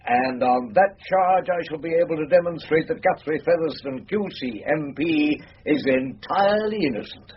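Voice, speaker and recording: A man's voice: on the high side, expressive, normal speed, hardly any pauses, slightly rough, muffled recording. An actor playing a pompous male advocate in a radio drama, pleading formally with a slightly fumbling, declamatory manner. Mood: pompous, earnest. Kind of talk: British radio drama acting.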